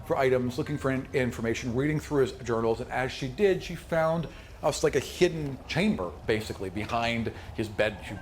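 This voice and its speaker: A man's voice: mid-range voice, fairly quick. Guy running a tabletop game, chatty and emphatic storytelling, roomy echo w some background noise. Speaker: game master. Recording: echoey room with background noise.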